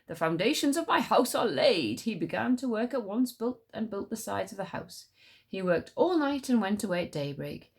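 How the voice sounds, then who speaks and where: A woman reading a story aloud as a teacher would, in an expressive storybook narration. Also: mid-range voice, husky.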